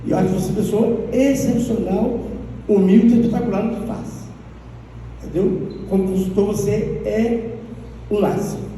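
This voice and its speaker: A man from the audience speaking in halting sentences with frequent tag questions. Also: high-pitched, steady tone, mellow, a little hiss or hum. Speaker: audience member. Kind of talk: public tribute from the audience. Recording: distant mic in reverberant hall.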